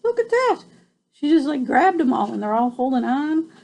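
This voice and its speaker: A woman's voice: high-pitched, sing-song, moderate pace, darkish tone. An older woman, a grandmother reading a picture book to children expressively, with playful, exclamatory asides.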